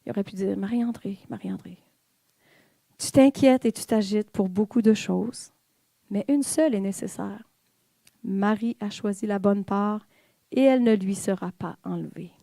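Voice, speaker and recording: A woman's voice: medium pitch, normal speed, vocal fry, mellow, no echo. A woman with a Québécois French accent giving an informal, conversational sermon in church, with fillers and the odd whispered aside. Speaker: church speaker.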